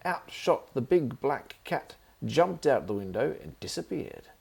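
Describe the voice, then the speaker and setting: A man's voice: mid-range voice, big pitch swings, a little slow, vocal fry, darkish tone, husky. A man reading a children's story aloud as the narrator.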